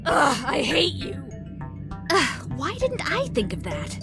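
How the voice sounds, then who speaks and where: A woman's voice: sits high, huge pitch swings, takes it slowly, creaky, over music, heavily acted. A woman playing a sardonic, theatrical and exasperated villain rival in a visual novel game. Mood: startled, annoyed. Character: Kiki, sardonic, dramatic, gun-toting female villain rival.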